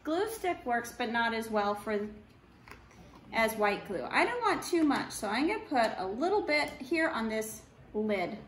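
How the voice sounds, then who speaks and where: A middle-aged woman gives simple step-by-step craft instructions for children in short, unhurried phrases, recorded indoors from across a table. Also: a little high, sing-song, fairly bright tone. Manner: unhurried, short simple phrases while working with her hands. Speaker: art teacher demonstrating a craft. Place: indoor room at a wooden table. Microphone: camera a couple of meters away. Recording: roomy echo, faint background noise.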